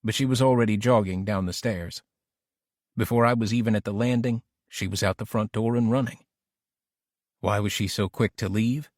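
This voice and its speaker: A male audiobook narrator with a fairly low voice reads a suspense story in the first person. The sound is very dry, with no room on it. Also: steady tone, normal speed, a little mellow, no room sound.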